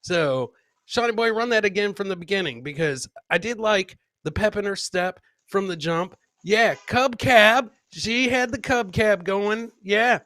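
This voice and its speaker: A man's voice: high-pitched, unhurried, pushed and bright, no room sound. A man hosting a comedy podcast, casually commenting on clips in a boisterous, slangy way with repeated catchphrases.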